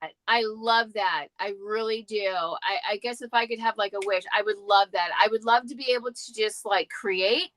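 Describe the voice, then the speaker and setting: A woman's voice: medium pitch, moderate pace, hardly any pauses, fairly bright tone, slight background noise. A woman co-hosting a podcast in casual chat, gushing and slangy, with plenty of swearing. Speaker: podcast co-host.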